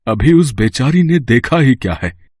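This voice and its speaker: A man voicing an earnest, sorrowful older father in an animated family melodrama. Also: mid-range voice, measured pace, slightly rough, no echo, clean studio sound. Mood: earnest, reflective.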